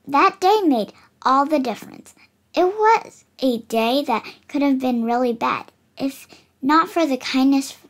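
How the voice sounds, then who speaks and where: An eight-year-old child reading a picture book aloud expressively, slightly halting with dramatic emphasis on words. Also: high voice. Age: child, 8 years old. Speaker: young book reader.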